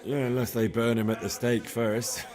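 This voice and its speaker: An actor playing an inquisitive, plain-spoken young commoner in a video game, with a dry questioning manner. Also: male voice, medium pitch, little pitch movement, moderate pace, a little mellow, some chatter behind, lightly acted. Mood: wry. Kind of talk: video game cutscene acting.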